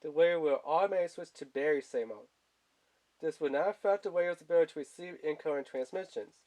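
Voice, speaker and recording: A man's voice: a little high, quick pace, dark tone, rough recording. A man giving a casual, rambling product review in informal, colloquial language, speaking off screen indoors. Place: indoors at a tabletop.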